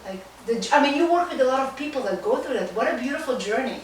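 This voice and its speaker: A woman's voice: high-pitched, lively intonation, very fast. A woman with an Israeli accent talking enthusiastically and emphatically as a guest in a casual podcast interview. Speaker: podcast guest, life coach. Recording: distant mic, very echoey room, faint noise.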